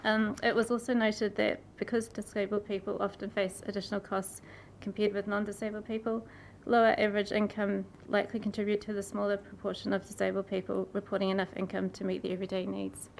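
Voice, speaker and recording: A woman with a croaky voice reads a prepared submission to a council. She speaks earnestly and apologizes after her slips. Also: medium pitch, little pitch movement, fast talker, slight background noise. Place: council chamber.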